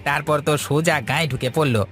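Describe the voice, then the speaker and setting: A man's voice: a little high, fairly even intonation, fast talker, fairly bright tone, soft background music, close to an everyday voice. A man voicing an eager village henchman in a cartoon, emphatic and deferential like an informant. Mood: anxious, deferential. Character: anxious, eager village henchman reporting to his master. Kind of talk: Bengali moral-story cartoon dubbing.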